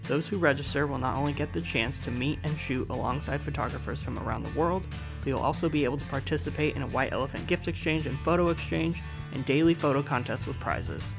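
A man's voice: medium pitch, fast talker, creaky, music in the background. A man with an American accent hosting a podcast, moving between scripted introductions and casual interview chat. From United States. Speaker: podcast host.

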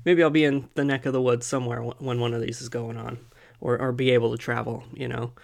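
Guy coming down w a cold, soft kinda husky voice, chatty podcast host, lots of ums. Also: mid-range voice, level tone, fast. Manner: chatty, laughs often, many fillers. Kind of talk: casual podcast chat.

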